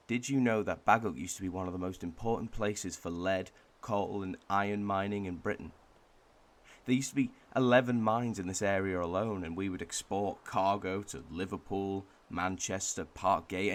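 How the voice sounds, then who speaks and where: A man playing a wistful Welshman, delivering a pensive, measured monologue in a radio drama. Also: deep voice, natural voice. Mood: informative, proud. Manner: pensive, measured, with thoughtful pauses. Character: wistful Welshman reflecting on his home village and heritage.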